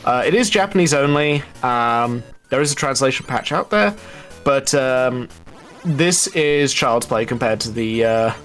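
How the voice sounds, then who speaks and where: A man's voice: mid-range voice, a little slow, fairly bright tone, faint music behind. Man explaining live gaming action. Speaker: speedrun commentator.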